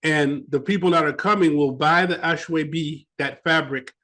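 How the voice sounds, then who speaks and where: Lively higher-voiced American man storytelling. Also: normal speed. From United States. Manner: animated storytelling, repeats words while finding them. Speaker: guest author. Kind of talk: interview conversation.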